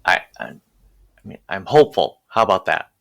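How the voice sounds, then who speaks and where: A man hosting a podcast, talking in an earnest, halting way and often repeating his words. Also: sits low, big pitch swings, creaky, a bit bright. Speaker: podcast host. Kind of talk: conversational interview.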